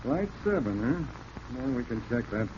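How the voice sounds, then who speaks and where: An actor playing a shrewd, sardonic police detective who probes calmly and wryly, in 1940s radio drama style. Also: male voice, mid-range voice, unhurried. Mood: wry, assured. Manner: wry, leading questions. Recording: muffled, hissy old radio recording.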